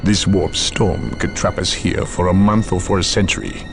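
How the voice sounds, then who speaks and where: An imperious inquisitor, low and gravelly. He speaks with cold courtesy and condescension, his pitch moving dramatically, over clear game cutscene music. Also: steady tone. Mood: grave, urgent. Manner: clipped, condescending, deliberate. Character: imperious, coldly polite inquisitor, a powerful zealous official.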